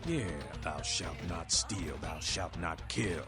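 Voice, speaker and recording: Deep-voiced, streetwise vampire rebel over music. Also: male voice, lively intonation, takes it slowly, full character voice. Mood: defiant, persuasive. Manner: slangy, blunt, streetwise preaching. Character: streetwise, rebellious Vietnam veteran vampire anarch, male. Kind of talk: video game character dialogue. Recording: background music under the voice.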